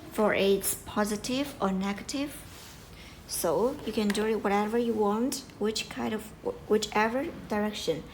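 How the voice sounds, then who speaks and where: A woman giving an informal step-by-step product tutorial in halting, non-native English, repeating her instructions. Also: fairly high pitch, slight background noise, rough recording. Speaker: product support demonstrator.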